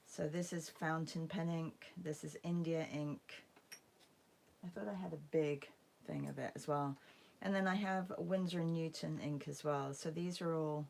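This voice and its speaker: A woman with a hoarse, croaky voice after a concert, chatting casually with viewers as she hosts an art livestream. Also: deep voice, a little mellow, slight background noise. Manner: chatty, greets viewers by name. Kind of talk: casual livestream chat while painting.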